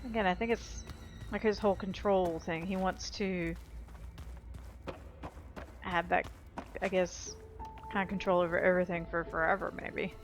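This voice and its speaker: A woman's voice: on the low side, fairly even intonation, normal speed, pauses often, lo-fi sound, over music. Chatty woman narrating gameplay. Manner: chatty, hedging, explanatory. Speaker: gameplay commentator. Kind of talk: casual gameplay commentary.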